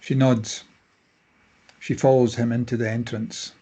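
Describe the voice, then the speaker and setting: A man narrates a screenplay, reading out scene headings and action. His voice sits at an average pitch and has a husky, unpolished grain. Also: level tone, measured pace, dark tone. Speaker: narrator reading stage directions.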